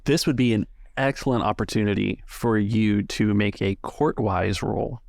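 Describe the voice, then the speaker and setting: A man speaking as game master, narrating and explaining a tabletop game in a measured way. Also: fairly low pitch, creaky, darkish tone, no echo, clean studio sound.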